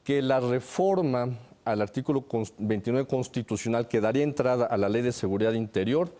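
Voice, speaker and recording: A man's voice: mid-range voice, fairly quick, warm tone. A man with a Mexican accent giving methodical analytical commentary as a security analyst on a television panel. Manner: methodical, enumerates his points.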